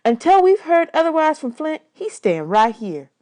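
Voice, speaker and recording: A woman's voice: sits high, very animated, moderate pace, warm tone, pitched above the performer's own voice. A woman voicing a firm, protective woman in a storybook, speaking emphatically and indignantly. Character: firm, protective woman scolding in defense of a child.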